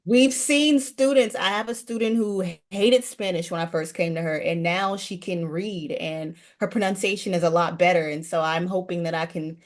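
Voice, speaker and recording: A woman's voice: on the low side, steady tone, normal speed, few pauses. A woman guest running a language program, chatting earnestly in a casual interview.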